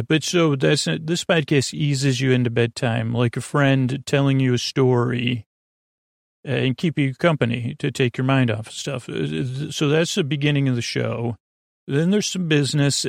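A man hosting a sleep podcast, talking in a meandering, gentle way with many restarts and fillers. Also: medium pitch, gravelly, no echo, clean studio sound. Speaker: podcast host. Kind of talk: rambling bedtime podcast intro.

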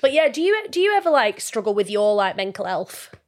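A woman's voice: mid-range voice, sing-song, fairly quick. A woman, hosting a casual podcast interview in an informal, slangy way full of "like". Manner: informal, slangy, heavy use of "like". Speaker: podcast host.

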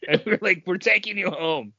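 A man with a slightly high voice hosts a casual podcast. He tells anecdotes in a storytelling way, voicing what others said. The recording is a little dull and echoey. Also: normal speed, vocal fry, pushed and bright, husky. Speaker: podcast host. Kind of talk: casual chat, telling anecdotes. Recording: dull, echoey room sound.

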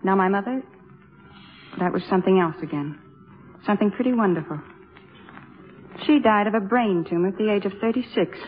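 An actress playing a cool, troubled young woman student, measured and cutting, in 1950s radio drama style. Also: medium pitch, faint music behind, close to an everyday voice. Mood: cool, candid. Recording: tinny, narrow old radio broadcast.

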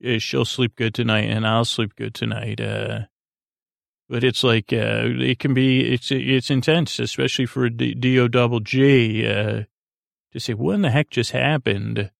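A tired man hosting a sleep podcast, giving a meandering, halting bedtime monologue in which he often repeats words. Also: medium pitch, fairly even intonation, creaky, no echo, studio quality. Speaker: podcast host. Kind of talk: rambling bedtime monologue to help listeners sleep.

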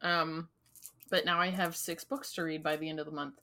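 A woman's voice: low-pitched, little pitch movement, moderate pace, pushed and bright. A woman co-hosting a book podcast in casual chat, often laughing and offering short supportive replies. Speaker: podcast co-host.

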